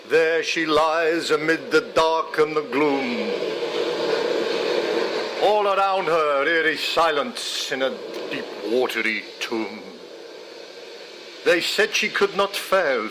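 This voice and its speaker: A man reading poetry aloud in a solemn, measured, dramatic way. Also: fairly high pitch, expressive, background noise. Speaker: poetry reader. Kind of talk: expressive poetry recitation. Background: music.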